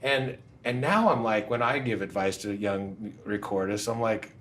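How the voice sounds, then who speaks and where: A man hosting an interview podcast, relaxed and agreeable, chatting with his guest. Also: fairly low pitch, moderate pace. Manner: relaxed, agreeable, backchannels a lot. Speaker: podcast host. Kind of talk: casual interview chat.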